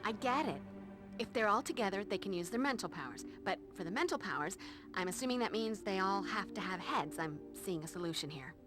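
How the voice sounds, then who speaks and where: A woman's voice: medium pitch, animated, brisk, lightly acted. An actress playing a quippy, confident young female vampire slayer in a video game, with an American accent. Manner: quippy, sarcastic. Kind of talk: video game cutscene dialogue. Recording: music behind the voice.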